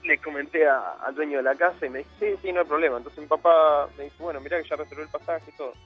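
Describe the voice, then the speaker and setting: A man's voice: fairly high pitch, fairly even intonation, fast, slightly rough, muffled recording, faint music behind. A young man from Argentina with an Argentine Spanish accent, telling his story as a guest in a casual interview, rambling with many fillers. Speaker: guest footballer.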